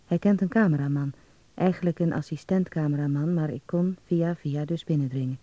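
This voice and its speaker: A man's voice: a little high, a little fast, warm tone, no echo, muffled recording, lightly acted. An actor playing a dreamy young man in a radio drama, confiding intimately in a letter home to his mother. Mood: reflective.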